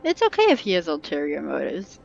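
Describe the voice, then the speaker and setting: A woman's voice: low voice, huge pitch swings, fairly quick, vocal fry. A young woman giving casual, playful and wry commentary on a video game, flippant, on a dull lo-fi microphone. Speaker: gamer commentating on her playthrough. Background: game music.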